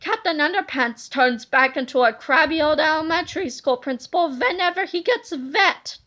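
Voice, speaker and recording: An ornery male supervillain from a children's storybook , acted out by a woman, high and forceful. He taunts loudly and bluntly, mean to the core. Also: expressive, moderate pace. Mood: gloating. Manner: loud, taunting.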